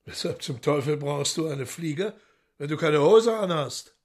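An actor playing a commanding, sardonic devil in a radio play, with a raspy, gravelly voice and courtly, mocking manner. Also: male voice, a little high, animated, a little slow. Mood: irritated, scolding. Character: commanding, sardonic devil holding court among his retinue.

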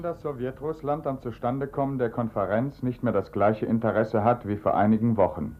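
Dull, lo-fi vintage radio sound carries a man acting as an impassive newsreader. His delivery is formal and official, at a middling pitch. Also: a little mellow, lightly acted. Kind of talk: 1950s radio news reading in drama.

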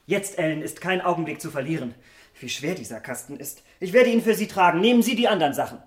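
An actor playing an insistent, imperious young gentleman in a German radio play, controlled and coolly commanding. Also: a little high, animated, quick pace, husky.

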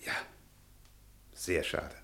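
A man's voice: drawn-out words, pushed and bright. An actor in a radio play playing a shy, pedantic middle-aged male history professor who lectures precisely and bristles easily. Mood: flustered, polite. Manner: precise, lecturing, hesitant when flustered. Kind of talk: German radio drama acting.

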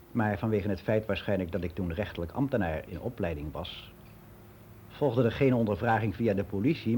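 Actor as mellow older man reminiscing, faint hiss. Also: lowish voice, natural voice. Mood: matter-of-fact, reminiscing. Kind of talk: radio play monologue as a personal testimony.

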